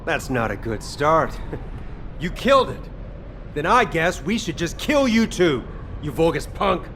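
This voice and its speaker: A gruff, impatient soldier from a video game. His delivery is wry and sardonic, swinging widely in pitch, with clear ambient noise behind it. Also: very animated, unhurried, a bit bright, heavily acted. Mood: sardonic interrogation. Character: gruff, exasperated male soldier who cooks, wry and impatient.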